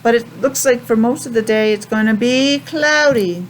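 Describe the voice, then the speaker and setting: An American woman teaching young children a simple lesson in a sing-song, questioning way with pauses. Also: fairly high pitch, a little hiss or hum, poor audio. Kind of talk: children's calendar and weather lesson.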